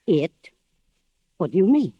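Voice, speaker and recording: A woman's voice: lowish voice, big pitch swings, slow pace, breathy edge, exaggerated voice. A woman playing a concerned household servant in a 1940s radio drama, urgent and questioning. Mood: puzzled, probing. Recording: muffled old radio recording.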